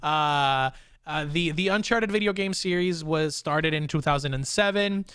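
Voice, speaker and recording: Young guy hosting a casual podcast, dry close sound, kinda high voice, excited rambly delivery. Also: unhurried, smooth, no echo. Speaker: podcast host. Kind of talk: casual pop culture discussion.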